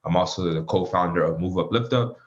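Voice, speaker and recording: A man in his thirties from Miami, with a very deep voice. He talks in a relaxed, rambling way, full of um and you know. His audio comes through dull and lo-fi. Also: fairly quick. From Miami, Florida. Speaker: guest. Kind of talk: casual interview answers.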